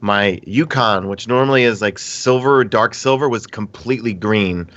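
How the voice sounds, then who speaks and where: A man from New York co-hosting a gaming podcast, sounding nasal from allergies, chatty and excitable. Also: lowish voice, moderate pace, highs cut off. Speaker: podcast co-host, streamer. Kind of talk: casual podcast chat.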